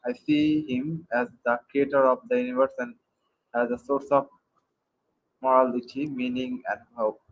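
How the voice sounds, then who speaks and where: A man from Bangladesh calling into a live-stream debate, making a prepared case with many pauses and fillers. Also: mid-range voice, flat delivery, rough recording. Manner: hesitant, many fillers, reads prepared points. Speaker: caller. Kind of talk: prepared argument in a call-in debate. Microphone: computer mic on a video call.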